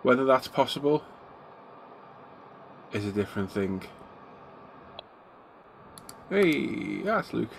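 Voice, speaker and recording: A man's voice: medium pitch, very animated, takes it slowly, gravelly, pauses often, slight background noise. A middle-aged man, hosting a casual live stream from his hobby room. He sounds bunged up from a cold and greets his viewers by name as he chats. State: congested, getting a cold.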